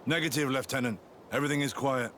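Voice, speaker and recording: An actor playing a remorseful, dutiful sergeant in a video game, speaking earnestly and soberly. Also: male voice, medium pitch, fast, bright, forceful tone, a little hiss or hum, lightly acted. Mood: sober, alert. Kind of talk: scripted video game acting.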